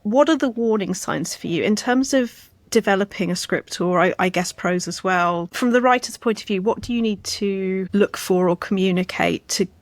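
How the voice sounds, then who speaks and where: A woman's voice: medium pitch, moderate pace, few pauses, no room sound. A woman hosting a conversational interview, putting thoughtful questions in a careful, feeling-her-way manner. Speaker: interviewer, host.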